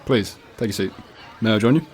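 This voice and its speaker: Man acting a fast-talking British police detective. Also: on the low side, expressive, background noise, lightly acted. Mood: breezy, probing. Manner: polite, insistent questioning. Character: probing, casual but persistent male police detective. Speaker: actor in a radio play. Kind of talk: student radio drama acting. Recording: cafe crowd chatter behind.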